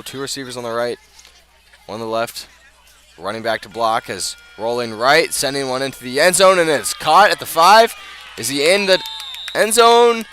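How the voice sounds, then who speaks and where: Male live football radio commentator, forceful bright voice, measured but ready to lift on big plays, light outdoor noise behind. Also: medium pitch. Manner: builds from measured narration to raised, excited calls. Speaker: play-by-play sports commentator. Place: outdoors at a high school football field. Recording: noisy outdoor stadium, live broadcast.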